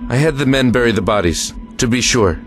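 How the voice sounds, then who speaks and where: A man's voice: medium pitch, expressive, fairly quick, close to an everyday voice. A man playing a weary, guarded American army lieutenant in an audio drama, speaking in a clipped, plain way with military authority. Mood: grave, secretive. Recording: music under the voice.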